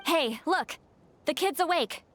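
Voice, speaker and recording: Very high, chirpy young girl. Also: expressive, slow pace, fairly bright tone, slight background noise, heavily acted. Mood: excited. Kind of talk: video game voice acting.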